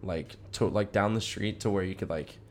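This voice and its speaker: A man's voice: lowish voice, moderate pace. A young American man co-hosting a podcast, chatting and telling personal stories casually with lots of slang and filler "like". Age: young adult. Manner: slangy, peppered with "like", "dude" and "bro". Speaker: podcast co-host. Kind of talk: casual chat and personal storytelling.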